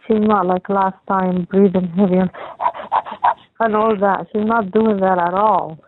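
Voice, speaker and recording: A woman's voice: mid-range voice, steady tone, a little slow. Phone-quality audio, young adult woman, casual chat, rambling and repetitive, slight background noise. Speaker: caller talking with partner. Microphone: telephone call. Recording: tinny phone line with faint noise.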